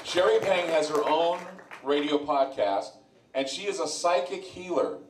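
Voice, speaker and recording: A man's voice: a little high, unhurried, a crowd in the background, background noise. A man who hosts a radio show, talking live to an audience at an event in a loose, rambling way, often repeating words as he goes. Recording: roomy live venue, mic heard from a distance.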